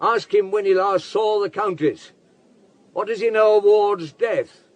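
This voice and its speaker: An actor in a radio drama playing a terse, commanding police chief who gives clipped, matter-of-fact orders. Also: male voice, high-pitched, unhurried, no room sound. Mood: brisk, dry.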